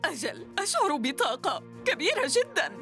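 A woman voicing an excitable character in a children's cartoon dub. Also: extremely high pitch, brisk, soft background music, heavily acted. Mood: excited.

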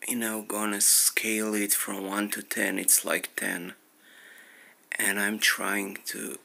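Slow gravelly man, in pain. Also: lowish voice, pushed and bright. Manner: slow, halting, pained, often whispery. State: in pain, close to tears. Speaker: vlogger. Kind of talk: casual personal update to viewers.

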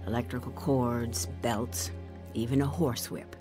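A grown woman giving scripted first-person testimony in an audio drama. She narrates in a measured, reflective way, with clear music underneath. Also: very deep voice, steady tone. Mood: reflective, grave. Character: grown woman recounting her abusive childhood.

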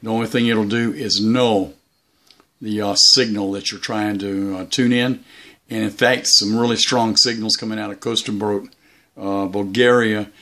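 A man talking casually as a hobbyist vlogger, halting and unhurried as he explains things. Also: lowish voice, steady tone, pushed and bright. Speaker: shortwave radio hobbyist vlogger. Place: indoors, small room beside radio gear.

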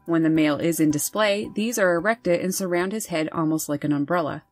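A woman host reading scripted nature narration over wildlife footage in a measured, informative way. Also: fairly low pitch, soft background music. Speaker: birding channel host.

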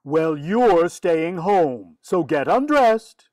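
Casting: exasperated father voiced by a woman, lowish register, unhurried, firm and wry, vintage radio comedy. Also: lively intonation, darkish tone, dry recording. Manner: firm, wry, long-suffering. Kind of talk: 1940s radio comedy acting.

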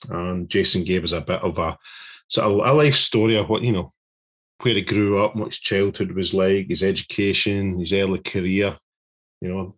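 A man co-hosts the podcast in a chatty, loose way, often repeating words as he goes. His voice is lowish and slightly husky. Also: a bit bright. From Scotland. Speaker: podcast co-host. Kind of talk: casual podcast chat.

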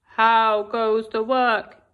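A woman voicing a lazy old male farmer who slowly calls out demands from his bed. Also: little pitch movement, clear, resonant tone, phone-quality sound. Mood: demanding. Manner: slow, booming, demanding.

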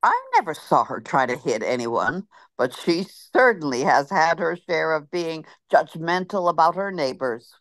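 A woman's voice: low voice, animated, measured pace, fairly bright tone, dry recording. A woman reading the part of a wry fellow churchgoer at a funeral, over a video call. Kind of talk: zoom radio-play reading.

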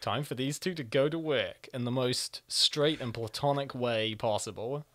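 A man's voice: medium pitch, normal speed, fairly bright tone, dry recording. A man hosting a comic reading with casual, sarcastic comedic commentary. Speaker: host and comic reader. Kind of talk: casual comedic commentary and mock-dramatic narration. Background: music.

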